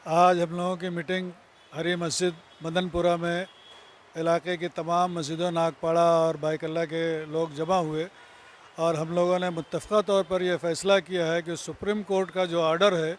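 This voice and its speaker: A man's voice: a little high, little pitch movement, normal speed, slight background noise. An older man giving a formal statement to the press as a community representative.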